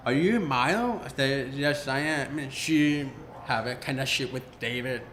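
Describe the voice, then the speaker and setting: A man in his twenties, an actor, answering interview questions haltingly, often asking for them to be repeated. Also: mid-range voice, reverberant, background noise. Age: 20s.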